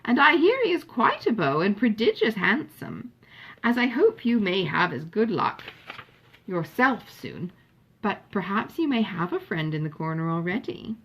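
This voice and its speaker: A woman's voice: medium pitch, sing-song, moderate pace, slightly rough, low-quality recording. A woman playing a vulgar, chattering young Englishwoman from an audiobook novel, gushing and overfamiliar. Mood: teasing. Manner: gushing, familiar, inquisitive.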